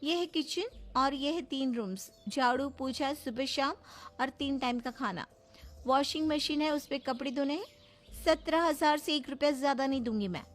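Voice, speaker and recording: A woman's voice: sits high, moderate pace, pitched above the performer's own voice, close to an everyday voice. A woman voicing a haughty, impatient modern young daughter-in-law, bossy and dismissive, in a cartoon story. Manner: bossy, dismissive, mixes in English. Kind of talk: cartoon voice acting.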